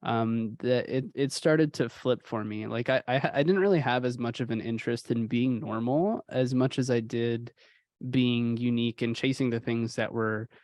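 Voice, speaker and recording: A man's voice: medium pitch, moderate pace, no room sound. Young man from Houston, podcast guest, casual and reflective, dry close mic. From Houston, Texas. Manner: relaxed, uses many fillers like "you know". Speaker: podcast guest, sound engineer.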